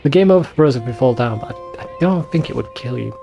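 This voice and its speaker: A man's voice: medium pitch, lively intonation, fast talker, vocal fry, music in the background. A man giving casual, sweary commentary over a video game in an informal, bemused way. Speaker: let's play video host.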